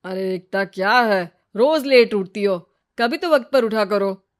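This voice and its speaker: A woman acts out a nagging cartoon husband. He is fussy and bossy, scolding and laying down rules in a mid-pitched voice. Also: measured pace, natural voice.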